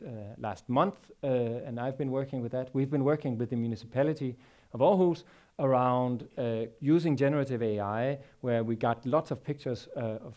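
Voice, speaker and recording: A man in his forties with a Danish accent, speaking on a large conference stage through a headset mic. His voice is mellow and dark at a middling pitch. He stumbles and repeats words as he works through his points. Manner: halting, many repeated words and fillers. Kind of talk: technical conference talk.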